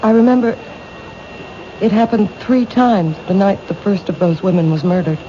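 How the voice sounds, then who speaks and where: A woman's voice: mid-range voice, moderate pace, lightly acted. An actress playing a timid, fearful young woman who owns a bookshop, speaking hesitantly and stammering in a 1970s radio drama. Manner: hesitant, soft-spoken, stammering. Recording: dull old radio broadcast, noisy.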